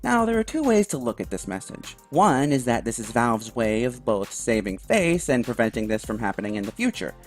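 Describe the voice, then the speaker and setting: A man's voice: mid-range voice, sing-song, brisk, hardly any pauses. A man giving conversational opinion commentary in an emphatic, wry manner with some sarcasm. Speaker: video commentator. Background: background music.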